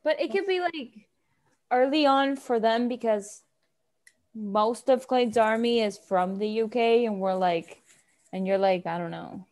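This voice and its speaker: A woman's voice: fairly high pitch, a little mellow. A teenage girl in playful, bubbly chat with a friend. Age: teens. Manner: bubbly, fast back-and-forth, playful. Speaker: co-host. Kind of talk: casual chat.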